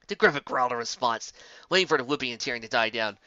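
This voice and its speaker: Animated, bright-voiced storyteller. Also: medium pitch, fast talker, no echo, lo-fi sound. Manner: animated, theatrical. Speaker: storyteller, fan fiction reader. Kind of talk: dramatic story narration.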